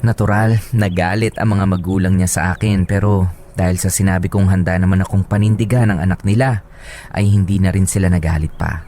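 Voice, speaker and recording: A man's voice: deep voice, steady tone, fairly quick, warm tone, soft background music. A man with a Filipino accent who works as a radio host and storyteller. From Philippines. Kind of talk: dramatic story narration and casual radio banter.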